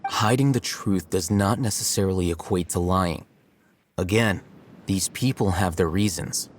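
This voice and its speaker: A man voicing a calm, aloof young male scholar in a video game, measured and dry. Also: sits low, a little hiss or hum. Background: music.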